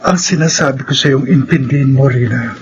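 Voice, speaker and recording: An actor playing an ardent, stubborn suitor in a radio melodrama, speaking in an earnest, declamatory manner. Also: male voice, mid-range voice, brisk, lightly acted. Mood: pleading, ardent. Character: ardent, stubborn suitor willing to do anything for love. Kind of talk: Filipino radio melodrama acting. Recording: slightly dull with faint noise.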